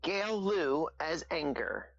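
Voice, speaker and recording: Low, creaky-voiced woman announcing, slow. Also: lively intonation, muffled recording, faint music behind. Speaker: announcer reading cast credits. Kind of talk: scripted credits announcement.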